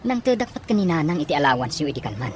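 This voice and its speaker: A man's voice: on the high side, big pitch swings, very fast, a little hiss or hum. An earnest father who worries over his young daughter and turns indignant on her behalf. The delivery is protective and dramatic, in radio drama style. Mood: concerned. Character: concerned, indignant father of a schoolgirl. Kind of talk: Ilocano radio drama acting.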